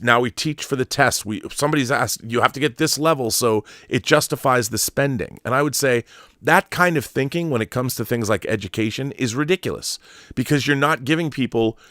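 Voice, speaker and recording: A man's voice: mid-range voice, fairly bright tone, no echo, studio quality. An American man hosting a livestream, talking casually and with opinions in a rambling, emphatic way that repeats phrases for stress. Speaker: livestream host. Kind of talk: casual opinionated livestream monologue, reading viewer comments.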